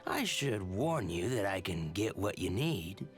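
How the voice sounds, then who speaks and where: An actor voicing a secretive male dealer in a game, sly and hushed. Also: fairly low pitch, big pitch swings, a little slow, soft background music. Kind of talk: game voice acting.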